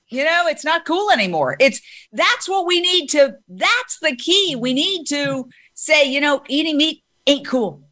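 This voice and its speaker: Lady host, pretty high voice, excited and opinionated, asking guests stuff. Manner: enthusiastic, opinionated. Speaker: interview host. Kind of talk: interview conversation.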